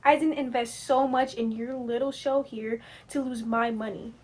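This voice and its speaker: A woman's voice: on the high side, steady tone, moderate pace, darkish tone, close to an everyday voice. A woman playing a haughty, demanding business sponsor in a 1940s radio comedy. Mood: skeptical, stern. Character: demanding, haughty female sponsor worried about money.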